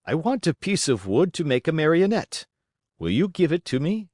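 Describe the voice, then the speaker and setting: A man voicing a dapper, hot-tempered, excitable old man in a storybook reading. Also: fairly high pitch, moderate pace, no room sound, studio quality, pitched above the performer's own voice. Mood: hopeful.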